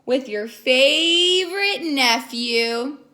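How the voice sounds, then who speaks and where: A woman's voice: sits high, animated, extremely slow, pushed and bright, full character voice. A woman voicing a kindly, cheerful uncle in a children's storybook, friendly and reassuring.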